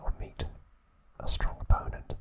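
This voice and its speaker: A man hosting an ASMR video, narrating trivia in a soft, deliberate, unhurried whisper. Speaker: ASMR host.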